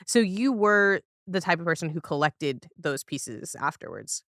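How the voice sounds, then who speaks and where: A woman's voice: lowish voice, expressive, measured pace, a bit bright, no room sound, studio quality. A woman hosting a podcast, talking casually in a conversational interview style. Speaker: podcast host.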